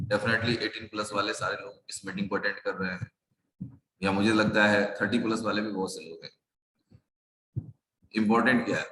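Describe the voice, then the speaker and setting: A hoarse man, a network marketing leader, giving a rousing motivational pitch to an online meeting, full of repetition and rhetorical questions. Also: a bit bright, reverberant, a little hiss or hum, low-quality recording. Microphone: video call.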